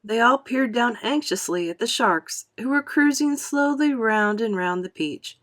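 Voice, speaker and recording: Female children's storyteller: unhurried, animated intonation, classroom read-aloud delivery. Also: on the high side. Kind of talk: expressive children's audiobook narration.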